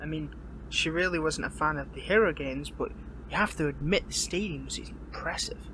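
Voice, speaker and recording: A man's voice: fairly high pitch, lightly acted. Man acting a pained, defiant captive hero, noisy recording. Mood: confused, exasperated. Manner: halting, sardonic, stammering. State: pained, weary. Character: captive young male hero, defiant, wry, wounded. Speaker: voice actor in audio roleplay. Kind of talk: dramatic audio roleplay, one-sided dialogue.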